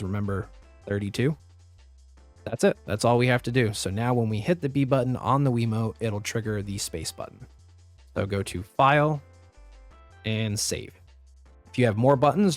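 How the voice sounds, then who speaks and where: A man with a slightly raspy voice, recovering from the flu, giving a step-by-step software tutorial as a voice-over. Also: medium pitch, dry recording. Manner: step-by-step instructive, plain and practical. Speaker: tutorial host. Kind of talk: screen-recorded software tutorial.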